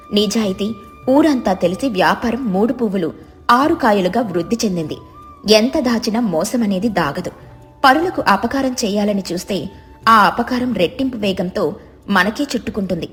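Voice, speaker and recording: A woman's voice: medium pitch, expressive, moderate pace, faint music behind. A woman narrating a scripted moral story as a storyteller.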